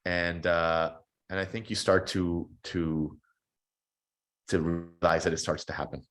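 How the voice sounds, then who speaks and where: A man in his thirties answering interview questions in a relaxed, reflective way, often repeating words as he gathers his thoughts. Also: sits low, a little slow. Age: 30s. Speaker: podcast guest.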